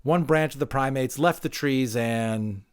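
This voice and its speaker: A man playing an educated, unsure male pupil in an audiobook, halting as he thinks aloud and gropes for words. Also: medium pitch, smooth, voice pushed up, natural voice. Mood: uncertain, groping. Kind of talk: dramatic audiobook character acting.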